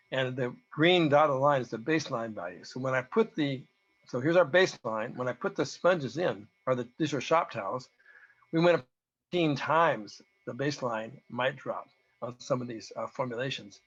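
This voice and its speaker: A man with a hoarse voice gives an informal, halting technical presentation, explaining data as a researcher. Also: medium pitch, a bit bright. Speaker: presenter, researcher giving a talk to beekeepers. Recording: dull, lo-fi audio.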